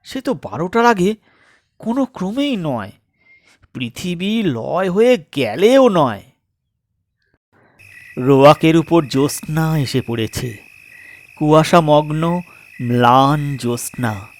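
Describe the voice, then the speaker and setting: A man narrating a scripted comic story in a dramatic, theatrical way with suspenseful pauses. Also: on the high side, big pitch swings, no room sound, studio quality. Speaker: storyteller and channel host.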